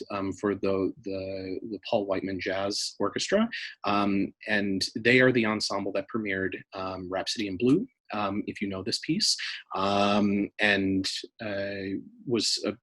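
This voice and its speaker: A man giving an informal, halting and thoughtful explanation of archive items as an interviewed staff member. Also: fairly low pitch.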